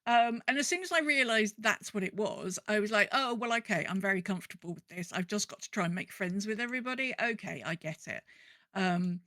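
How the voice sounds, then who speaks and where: Woman cohost chatting about her game, middle pitch, dry recording no room sound. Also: a little fast, a bit bright, no echo. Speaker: podcast co-host. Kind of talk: casual chat about an online game.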